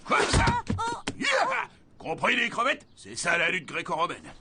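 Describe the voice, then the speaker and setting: An actor playing a swaggering, bullying adult gym teacher, loud and theatrical, in a cartoon. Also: male voice, sits high, huge pitch swings, unhurried, a little hiss or hum, full character voice. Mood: boastful. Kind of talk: animated cartoon dub acting.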